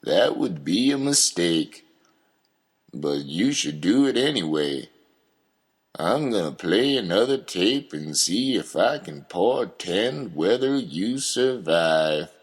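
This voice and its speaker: A man acts out a gruff old man in an audio drama. His voice is low and husky. He drags out his words in a doubting, deadpan way. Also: takes it slowly, heavily acted. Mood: resigned. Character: gruff, doubting old man, profane reluctant father figure.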